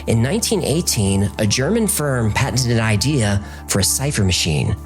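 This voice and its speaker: A man delivers scripted documentary narration for a podcast. His mid-range voice moves with lively, varied intonation, and soft music plays underneath. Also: mid-range voice, moderate pace.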